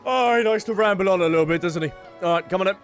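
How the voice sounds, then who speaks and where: A man's voice: high voice, very fast, faint music behind. A man doing casual, jokey let's play commentary as a gaming creator, playfully talking to the characters.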